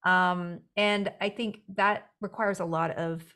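Young-sounding woman with a headset. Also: fairly low pitch. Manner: animated, laughs often, quick asides. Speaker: actor and writer guest. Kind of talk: casual interview chat. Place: home room with bookshelves.

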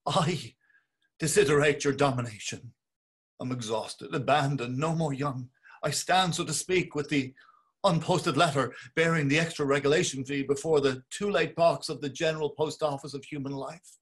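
A man's voice: fairly high pitch, normal speed, husky. An actor playing a meek, grovelling middle-aged man, pleading and theatrical, in a dramatic reading over a video call. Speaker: stage actor.

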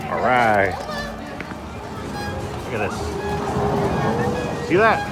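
A man chats his way through an outdoor theme park, his voice rising and falling with lively enthusiasm as he points things out. A phone mic picks him up over loud park music and a dense crowd. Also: mid-range voice, extremely slow. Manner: upbeat and chatty, points things out. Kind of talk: casual walking vlog narration.

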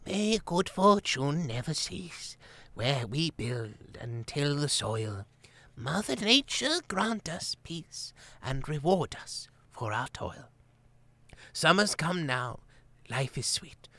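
A man's voice: a little high, big pitch swings, slow, sharp tone, dry recording, pitched above the performer's own voice, exaggerated voice. A man voicing a tiny, frail, ancient female mouse abbess in a quavery voice, reciting a grace. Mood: solemn.

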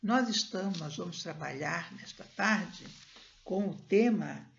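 An older woman from Brazil giving a spiritist talk, reading a passage with slow, measured phrasing and then leading a soft prayer, recorded over a laptop webcam at home. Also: fairly low pitch, creaky. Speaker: spiritist lecturer. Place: home room, indoors. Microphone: laptop webcam on a video call. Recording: dull lo-fi video call audio.

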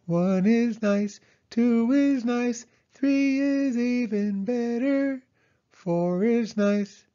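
A man voicing a cheerful, eager little girl mouse with an excited singsong manner in a children's storybook. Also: extremely high pitch, extremely slow, clear, resonant tone, lo-fi sound, pitched above the performer's own voice, full character voice. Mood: singing sweetly.

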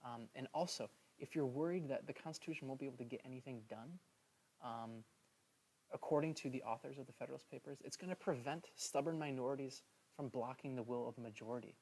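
A man teaching a history lesson over slides in a casual, chatty way, filling pauses with "um" and checking in with "right?". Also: medium pitch, a little mellow, poor audio. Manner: chatty, many "um"s and "right?" asides.

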